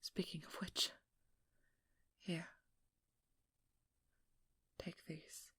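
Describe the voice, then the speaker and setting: A very breathy, husky female vampire hunter, played by a woman, speaking slowly and close to the mic in a dry space. She is guarded and determined, stern yet protective, in a hushed ASMR role-play. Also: fairly low pitch, flat delivery, no echo, exaggerated voice. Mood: firm, protective. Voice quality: breathy, hushed, often whispering. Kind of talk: ASMR role-play, intimate dramatic monologue. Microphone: close microphone in a dry room.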